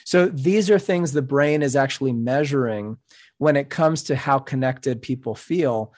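A middle-aged man whose first language is Spanish, speaking as a podcast guest in an earnest, measured, explanatory way. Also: mid-range voice, a little mellow. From New York City. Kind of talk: reflective interview talk.

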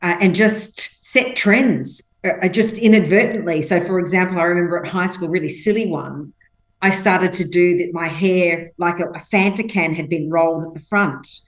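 A woman's voice: on the low side, normal speed, rough recording. A woman whose first language isn't English, telling personal stories emphatically as an interview guest and acting out quotes. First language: not English. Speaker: podcast guest. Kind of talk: conversational interview storytelling.

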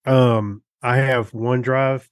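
Guy co-hosting a tech podcast, casual, kinda halting thinking out loud, mid voice, dry room. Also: mid-range voice, steady tone, dry recording. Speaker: podcast co-host.

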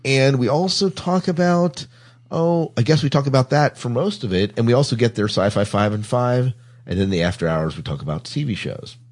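A man's voice: mid-range voice, normal speed, darkish tone. A man hosting a podcast, chatting casually as he runs through show announcements. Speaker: podcast host.

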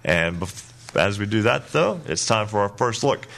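A man hosting a high school sports radio show, chatty and laughing often, his voice a little rough from lack of sleep. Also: animated, unhurried, a bit bright.

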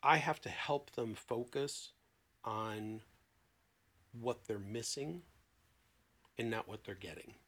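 A man speaking conversationally as a therapist on a podcast panel, blunt and emphatic, with deliberate pauses and rhetorical questions. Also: medium pitch, fairly bright tone. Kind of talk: conversational podcast talk with acted-out client dialogue.

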